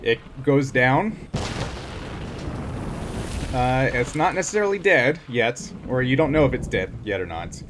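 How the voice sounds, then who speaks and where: A man casually narrating a tabletop role-playing game as game master, improvising haltingly as he goes. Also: mid-range voice, lively intonation, background noise.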